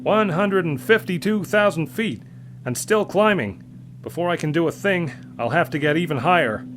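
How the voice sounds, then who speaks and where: Guy doing a brave young rescue pilot, urgent, pitched up, engine noise behind, audio story. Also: normal speed, fairly bright tone, close to an everyday voice. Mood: determined. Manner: urgent, determined. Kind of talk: audio story character acting.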